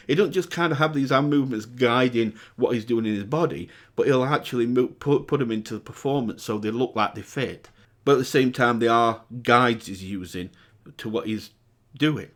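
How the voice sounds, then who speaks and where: A man with a cold and a croaky voice, hosting a music reaction video with casual, excitable commentary that jumps to high exclamations. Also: normal speed. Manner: excitable, breaks into high exclamations and sung demonstrations. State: hoarse from a cold. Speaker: music reaction channel host.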